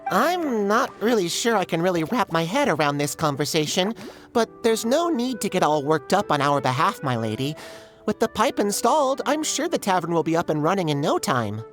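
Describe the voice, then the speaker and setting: Snarky paper critter in a game, kinda low, animated, fed up, faint music. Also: female voice, normal speed. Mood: reluctant, helpful. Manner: dry, scolding, sardonic. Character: sarcastic, no-nonsense paper forest creature, exasperated but helpful. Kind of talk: animated video game voice acting.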